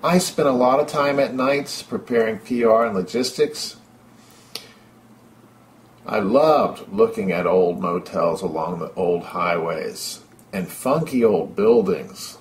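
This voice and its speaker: A middle-aged man giving an upbeat, enthusiastic first-person narration of a travelogue. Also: on the low side.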